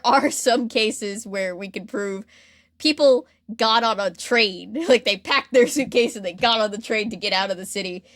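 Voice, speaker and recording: A woman hosting a podcast, casually retelling history in a chatty, animated way with lots of filler "like". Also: medium pitch, no room sound, clean studio sound. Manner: chatty, animated retelling with acted-out quotes and frequent "like". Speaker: podcast host. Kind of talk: casual storytelling about pop culture history.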